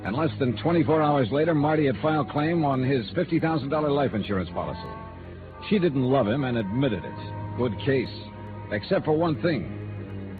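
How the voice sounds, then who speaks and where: Over a tinny vintage radio recording with prominent music comes an actor as a tough American male investigator. He is wry and skeptical, speaking in clipped, hard-boiled noir style at an ordinary male pitch. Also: natural voice. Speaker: radio drama lead and narrator. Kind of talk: 1950s radio noir acting.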